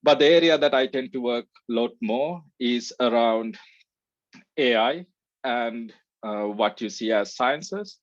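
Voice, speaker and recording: A man's voice: medium pitch, unhurried, dry recording, lo-fi sound. A man from Sri Lanka, a research scientist giving a conference talk, with many "uh" fillers. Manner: frequent "uh" fillers, relaxed. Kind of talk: conference presentation.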